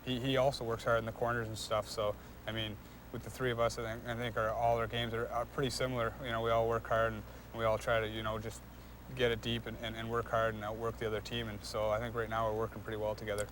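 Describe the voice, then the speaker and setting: A young man, a hockey player, giving casual, halting interview answers with repeated words and frequent filler phrases. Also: on the low side, completely flat delivery, fairly bright tone, slight background noise. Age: young adult.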